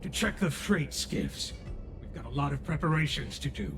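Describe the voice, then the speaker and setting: A man's voice: a little high, very animated, normal speed, gravelly, over music. A man playing a scheming, impatient villain henchman in a video game, contemptuous and commanding. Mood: businesslike, commanding.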